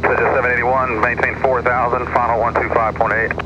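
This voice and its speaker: A man's voice: medium pitch, level tone, brisk. A man working as an approach controller gives clipped instructions over aircraft radio. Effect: aircraft radio transmission. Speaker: approach air traffic controller. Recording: muffled, noisy aircraft radio with engine drone. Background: aircraft cabin noise.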